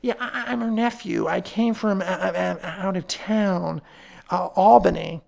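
A woman's voice: mid-range voice, gravelly, darkish tone. A woman doing a stammering, nervous young man. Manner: heavy stammering, halting.

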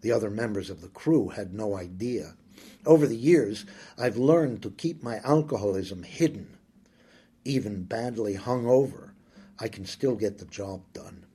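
A man reading a first-person story aloud, slow, brooding and intimate. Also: fairly low pitch, expressive, dark tone, husky. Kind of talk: scripted first-person audiobook narration.

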